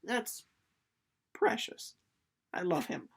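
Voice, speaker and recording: A young man giving a casual, informal book recap, playful and rambling off into asides. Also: slow pace. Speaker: book reader and presenter.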